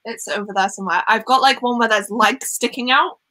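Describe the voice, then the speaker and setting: A woman's voice: on the high side, a little fast, bright, forceful tone, no echo. A young woman from Wales with a light Welsh accent, Welsh her first language, chatting casually on a live stream with ums and giggles. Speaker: host.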